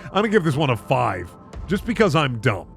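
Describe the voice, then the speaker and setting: Guy reading bad fanfic for laughs, swoopy pitch, sarcastic asides, faint music behind. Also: very animated, brisk, vocal fry. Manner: incredulous, sardonic asides. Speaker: host reading bad fan fiction. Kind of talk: comedic narration with sarcastic commentary.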